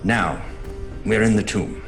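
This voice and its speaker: Low-voiced, haunted doctor. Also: male voice, slow, creaky. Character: haunted male doctor, sceptical and fearful, recounting horrors. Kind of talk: gothic audio drama diary narration and dialogue. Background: music.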